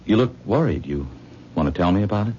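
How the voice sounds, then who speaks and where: A man's voice: low voice, animated, fast, dark tone. An actor playing a modest, earnest man who talks himself down, in a 1970s radio drama. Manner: self-deprecating, earnest. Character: modest, admiring kind man, new friend. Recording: dull old radio broadcast recording with faint hiss.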